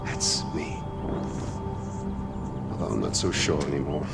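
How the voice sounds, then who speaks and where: An actor playing a flamboyant, menacing dictator in a video game, theatrical and mockingly polite, turning from soft-spoken to shouting. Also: male voice, extremely low pitch, steady tone, unhurried, loud music behind the voice. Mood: wistful, musing. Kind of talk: video game cutscene acting.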